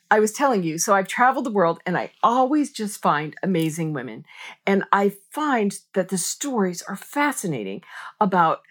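A middle-aged woman from the United States hosting a podcast interview, chatty and enthusiastic. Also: on the low side. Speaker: podcast host. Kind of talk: casual interview chat.

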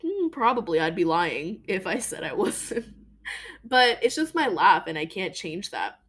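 A young woman hosting a podcast, talking casually and emphatically about her own life, with a voice she calls deeper than most women's. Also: sing-song, unhurried, fairly bright tone. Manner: chatty, emphatic, lots of fillers. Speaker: podcast host. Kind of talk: casual personal monologue.

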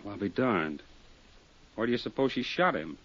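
A man's voice: fairly low pitch, animated, normal speed, fairly bright tone, husky. An American actor playing a big, evasive man cornered for embezzlement and murder in a 1950s radio drama, hesitant and defensive. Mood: uneasy, feigned calm. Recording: dull lo-fi 1950s radio recording.

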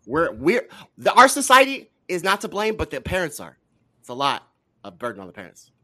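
A man's voice: on the high side, huge pitch swings, a little fast, pushed and bright. A man debating politics heatedly as a talk show guest, argumentative and pressing his points with challenging rhetorical questions.

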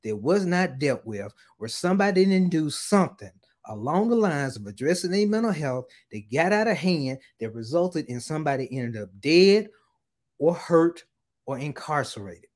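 An earnest man speaking as a guest on a casual podcast, slowing down to stress his points. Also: a little high, sing-song, darkish tone.

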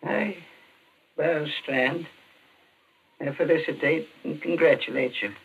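High-pitched, theatrical wounded radio villain. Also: male voice, slow, vocal fry, a bit bright, breathy edge, lo-fi sound, exaggerated voice. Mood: proud, resigned. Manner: formal, florid, melodramatic. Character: wounded, defeated male villain, theatrical and proud. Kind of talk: 1940s radio acting. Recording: noisy old radio recording, distant mic.

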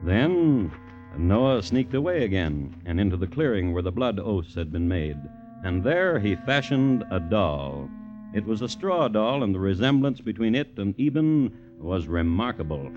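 An actor narrating a dark folk tale for a classic radio drama as a wry, deliberate storyteller. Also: male voice, lowish voice, big pitch swings. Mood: wry, ominous. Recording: dull old radio recording. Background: music.

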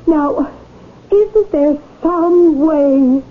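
A woman's voice: high-pitched, very slow. An actress playing a persistent wife arguing to rescue her husband in an old radio drama. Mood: insistent. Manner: persistent, argumentative. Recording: tinny lo-fi radio broadcast.

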